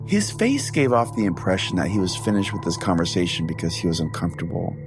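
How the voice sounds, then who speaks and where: A man with a fairly low voice narrates a scripted comedic tale as a podcast host. He keeps a deadpan storyteller's manner, with music playing clearly behind him. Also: quick pace.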